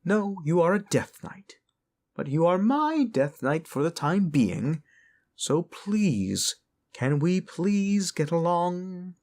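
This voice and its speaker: A man's voice: sits high, sing-song, slow, darkish tone, pitched above the performer's own voice, exaggerated voice. A man voicing a polite, scheming lich necromancer in a fantasy audio roleplay, genteel yet commanding and wheedling. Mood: exasperated, placating.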